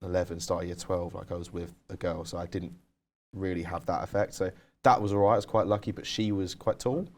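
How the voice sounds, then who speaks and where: A man's voice: low-pitched, steady tone, a little fast, a little mellow, a little hiss or hum. A young man co-hosting a podcast, telling candid stories in casual chat with lots of "like". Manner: candid, storytelling, uses "like" a lot. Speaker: podcast co-host.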